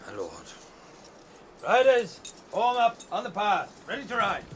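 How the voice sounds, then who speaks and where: A man's voice: high-pitched, wildly swooping pitch, unhurried, a little hiss or hum, heavily acted. An actor playing a suspicious, scornful rider, a lieutenant serving his lord, in a radio fantasy drama. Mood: brisk.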